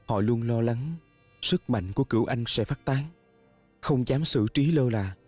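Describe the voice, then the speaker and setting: A man's voice: mid-range voice, unhurried, darkish tone, lightly acted. A man dubbing a devoted, self-blaming young male spirit in a fantasy drama, speaking earnestly and solemnly. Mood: solemn, sorrowful. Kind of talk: dubbed fantasy drama acting. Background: music.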